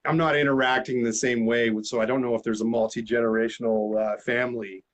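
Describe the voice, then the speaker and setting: A man's voice: medium pitch, flat delivery, quick pace. A man in his forties hosting a casual chat, frank and joking, swearing now and then. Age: 40s. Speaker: host. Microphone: video call.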